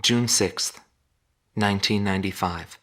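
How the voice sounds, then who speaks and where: A man's voice: lowish voice, measured pace, natural voice. A man narrating as a solemn, reflective journalist. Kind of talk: scripted narration. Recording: loud ambient noise behind.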